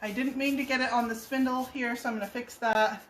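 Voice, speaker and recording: A hoarse woman talks viewers through a painting demo in a casual, chatty way, her voice sitting a bit high. She sounds set back from the mic in a roomy space. A faint hum of room noise sits underneath. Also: fairly even intonation, a little fast, fairly bright tone, recorded from a distance. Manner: chatty, laughs easily. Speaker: furniture painting host. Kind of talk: live painting tutorial.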